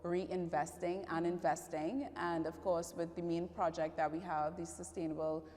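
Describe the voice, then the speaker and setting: A woman's voice: fairly low pitch, little pitch movement, normal speed, darkish tone. A woman with a Trinidadian accent giving conversational answers as an interview guest. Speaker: interview guest, fashion and public relations professional. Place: large echoing indoor event venue. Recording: long hall reverberation.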